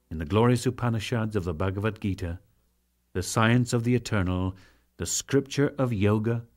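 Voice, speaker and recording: A man's voice: fairly low pitch, darkish tone. Solemn male narrator with sweeping intonation. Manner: solemn, measured, formal phrasing with deliberate pauses. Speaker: audiobook narrator. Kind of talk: dramatic reading of scripture.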